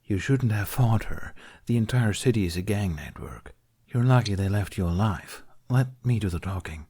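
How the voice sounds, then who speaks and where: A man voicing a calm, polite warrior-monk negotiator in an audiobook, with a measured, insistent manner. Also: lowish voice, husky. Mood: firm. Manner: measured, formal, impassive.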